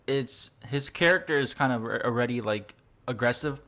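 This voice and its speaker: A male podcast host with a bright, forceful tone and a middle-range pitch. He talks in a loose, rambling way, packed with fillers and quick laughs. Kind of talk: casual interview chat.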